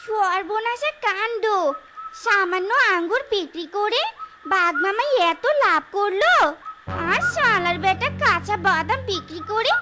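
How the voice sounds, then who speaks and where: A performer voicing a sharp-tongued, envious fox wife in a cartoon, nagging dramatically, over loud music. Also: very high-pitched, expressive, measured pace. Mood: bossy, resentful. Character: shrill, scolding, envious young fox wife. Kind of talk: cartoon voice acting. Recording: loud background music throughout.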